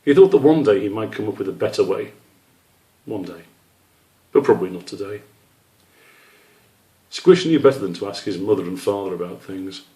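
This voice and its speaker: Mellow man reading a children's story. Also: fairly low pitch, expressive, moderate pace, long pauses, poor audio. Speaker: author reading his children's book.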